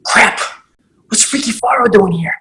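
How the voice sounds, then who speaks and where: A woman as a brash, bright-voiced teenage boy. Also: on the high side, unhurried. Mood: indignant. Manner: brash, bossy. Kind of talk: table read of a pilot script.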